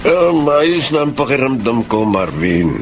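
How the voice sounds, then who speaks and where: A gentle, trusting Filipino father in a radio drama. His mid-pitched voice rises and falls freely, with clear noise behind it. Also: big pitch swings, unhurried. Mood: kindly, trusting. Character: kindly, trusting ailing father of grown daughter. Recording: noisy ambient background.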